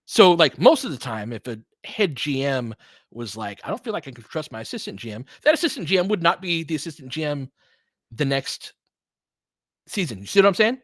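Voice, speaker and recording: A man's voice: fairly high pitch, sing-song, a little fast, dry recording, clean studio sound. A man giving casual, opinionated basketball commentary as a podcast host, emphatic and conversational, often checking in with rhetorical questions.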